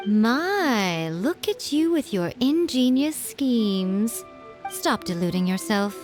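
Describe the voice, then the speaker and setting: A woman's voice: a little high, very animated, slow, a little mellow, faint music behind. An actress voicing a sly, commanding female shrine priestess who speaks in a poised, teasing way.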